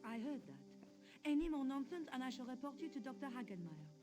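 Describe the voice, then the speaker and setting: An actress voicing a stern, prim hospital nurse in a video game, speaking in a scolding way. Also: female voice, high-pitched, very animated, fairly quick, music in the background.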